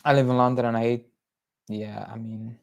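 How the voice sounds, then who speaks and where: A man's voice: mid-range voice, little pitch movement, moderate pace, gravelly, clean tone. Chatty Belgian-born man on a livestream. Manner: rambling, lots of fillers and restarts, chatty. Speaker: football fan channel host. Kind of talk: casual livestream chat reading viewer comments.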